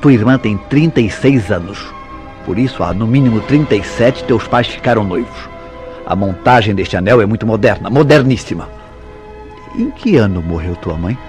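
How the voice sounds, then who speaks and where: A man's voice: lowish voice, animated, moderate pace, a little mellow, natural voice. A man with a Brazilian accent playing a jealous, suspicious husband in a radio soap opera, insistent and accusatory in delivery. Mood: accusing, insistent. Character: jealous, suspicious husband interrogating his wife. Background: music behind the voice.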